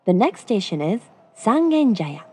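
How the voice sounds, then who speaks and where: A woman's voice: mid-range voice, sing-song, slow, warm tone, breathy edge. A woman delivering scripted train announcements with formal, courteous phrasing.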